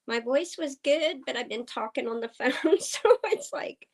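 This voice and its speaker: A woman from Oklahoma hosting a casual livestream chat with viewers. Her voice is slightly hoarse. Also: sits high, expressive. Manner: slow, chatty, talking to viewers. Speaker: livestream host. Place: farm home indoors. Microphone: computer streaming setup.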